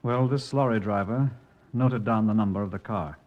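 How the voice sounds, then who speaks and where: An actor playing a methodical, respectful police sergeant in an old-time radio crime drama. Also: male voice, fairly low pitch, normal speed, phone-quality sound, lightly acted. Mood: thoughtful, measured.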